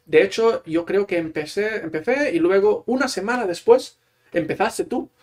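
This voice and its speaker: High-voiced man, relaxed talker. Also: moderate pace. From Catalonia, Spain. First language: Catalan. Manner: relaxed, explains at length with fillers. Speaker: guest, Spanish teacher. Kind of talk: casual interview chat.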